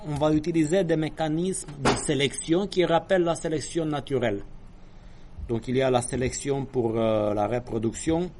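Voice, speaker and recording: A man's voice: medium pitch, normal speed, darkish tone, a little hiss or hum. A man giving a university lecture, explaining step by step with hesitations, apologetic asides and small jokes.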